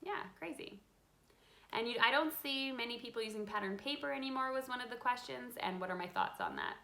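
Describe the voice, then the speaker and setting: A woman in her thirties hosts a relaxed live question-and-answer chat about card making from her home craft room, reading out viewer questions between frequent ums. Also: a little high, brisk, vocal fry, rough recording. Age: 30s. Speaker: craft channel host. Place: home craft room with a desk, lit by a window.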